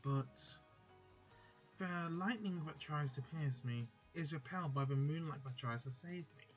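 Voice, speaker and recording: Guy doing a let's play, kinda high voice, faint music in the background, slightly muffled mic. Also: unhurried. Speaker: let's play host reading a visual novel. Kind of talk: casual intro, then reading game text aloud.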